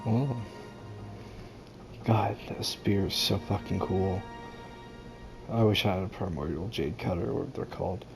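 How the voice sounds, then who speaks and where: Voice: low voice, measured pace, gravelly, long pauses, over music. A game streamer giving casual gameplay commentary in a blunt, sarcastic, sweary manner.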